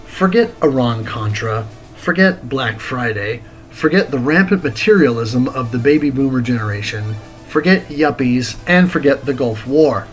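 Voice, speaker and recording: A man of forty reading a scripted retro game review as host, in a deliberate, articulate, wordy way. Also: medium pitch, expressive, rough recording. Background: music.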